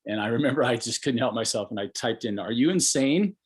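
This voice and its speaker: A man's voice: medium pitch, steady tone, quick pace, husky. A middle-aged American man hosting a casual podcast interview, talking in a rambling, colloquial and enthusiastic way. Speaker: podcast host.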